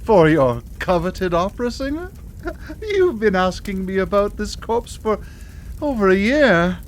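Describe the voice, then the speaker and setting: A woman's voice: medium pitch, sing-song, a little slow, slight background noise. A woman acting a sly, bitter crematorium officer in a live radio horror play, savoring her words theatrically. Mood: mock sympathy. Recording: live studio radio play, music behind.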